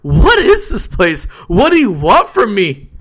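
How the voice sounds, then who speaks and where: A man's voice: wildly swooping pitch, unhurried, slightly rough, heavily acted. A man voicing a shrieking, terrified victim. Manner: cracking, shrill, panicked yelling. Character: terrified young man facing death, panicked.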